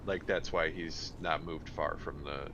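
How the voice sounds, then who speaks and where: A man with a very low voice speaks slowly and haltingly as a player in a tabletop roleplaying game. He reasons aloud in a casual way. The recording is dull, with clear background noise. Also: fairly even intonation. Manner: hesitant, thinking aloud. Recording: dull, noisy room recording.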